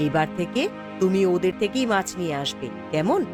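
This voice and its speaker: A woman's voice: on the low side, animated, a little fast, close to an everyday voice. A woman playing a kind-hearted, sympathetic village wife in a fairy-tale cartoon, chatting in a homely way. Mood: pleased, sympathetic. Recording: background music throughout.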